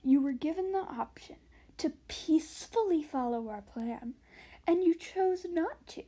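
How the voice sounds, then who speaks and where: Villain queen dragon for amateur animation, played by a woman: high voice, imperious, menacing, slow theatrical delivery. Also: animated, warm tone. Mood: cold menace. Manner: theatrical, drawn-out, deliberate delivery.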